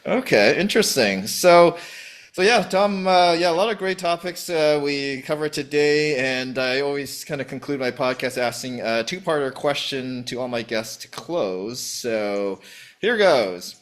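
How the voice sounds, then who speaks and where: A man's voice: mid-range voice, animated, a little slow. A man hosting a podcast, asking and summing up in a loose, rambling way full of fillers. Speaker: podcast host. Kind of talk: casual interview chat.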